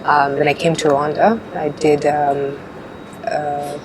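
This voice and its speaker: A young woman in her twenties, born in Uganda, answering interview questions in a relaxed way with frequent fillers. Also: low-pitched, takes it slowly. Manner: relaxed, laughs often, hesitant fillers. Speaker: pageant contestant guest. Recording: noisy background.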